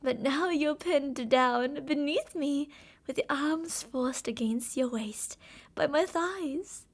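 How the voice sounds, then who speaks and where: A woman's voice: high-pitched, slow pace. A woman playing an obsessive, possessive yandere captor in an ASMR roleplay, intimate and doting with endearments yet menacing. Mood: taunting. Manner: intimate, cooing endearments, drops to whispers. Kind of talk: ASMR roleplay, scripted monologue.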